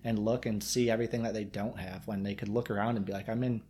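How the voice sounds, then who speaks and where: A man's voice: fairly low pitch, fairly even intonation, fast, warm tone, a little hiss or hum. A man from Michigan with a Yooper accent, talking earnestly and reflectively as a guest, with rambling restarts. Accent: Michigan Upper Peninsula. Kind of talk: casual interview chat.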